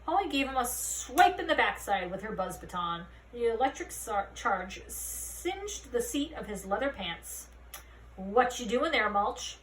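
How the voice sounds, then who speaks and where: Woman narrator, expressive children's-book reading, set back from the mic with faint noise. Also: fairly high pitch, unhurried, few pauses, distant mic, poor audio. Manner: expressive storytelling, acting out dialogue. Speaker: read-aloud narrator. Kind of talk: reading a children's fantasy novel aloud.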